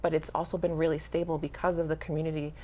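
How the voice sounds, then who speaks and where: A woman's voice: low voice, little pitch movement, fast talker, warm tone. A woman coach talking candidly and sincerely about her own experience in a conversational interview. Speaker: basketball coach, interview guest. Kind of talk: candid personal interview talk.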